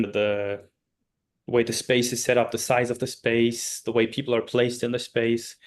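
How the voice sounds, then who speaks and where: A man, a guest speaker, answering questions in a webinar and often searching for his words. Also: medium pitch. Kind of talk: webinar Q&A explanation. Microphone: video call.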